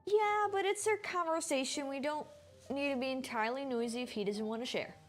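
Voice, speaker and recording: A woman's voice: high-pitched, brisk, faint music behind. A woman streaming a game, giving casual live commentary and thinking aloud in a chatty way.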